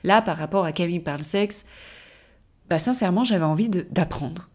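A woman hosts her own podcast and talks on her own in a loose, rambling way. She stops often to correct herself, and her voice is on the low side. She has a cold. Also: a little fast. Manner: rambling, many fillers and self-corrections. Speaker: podcast host. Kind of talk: casual solo podcast talk.

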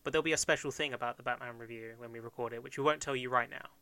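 A man's voice: medium pitch, steady tone, very fast, pushed and bright, smooth, no room sound. A man in his twenties from Kent, co-hosting a podcast in casual, joking chat and laughing often. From Kent, England. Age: 20s. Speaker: podcast co-host.

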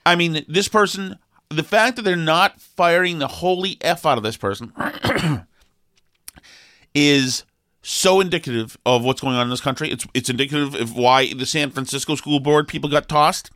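A man's voice: on the high side, a bit bright, dry recording, clean studio sound. Sniffly, rambling New man. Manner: rambling, halting with fillers and restarts, then emphatic. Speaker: talk show co-host. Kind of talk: opinionated casual talk.

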